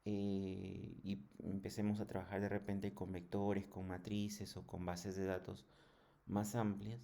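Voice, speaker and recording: Guy doing a coding tutorial, kinda low voice, slow and halting, explains step by step. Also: level tone, gravelly, dark tone. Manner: halting, didactic, with frequent check-in questions. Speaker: programming instructor. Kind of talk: screen tutorial lesson.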